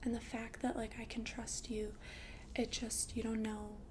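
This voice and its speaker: A woman voicing a friendly, considerate young vampire in a chatty ASMR roleplay, speaking in a soft, breathy half-whisper. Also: fairly high pitch, little pitch movement, unhurried, noisy background, lightly acted. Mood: tender, confiding. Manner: chatty, hesitant, reassuring, casual. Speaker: ASMR performer.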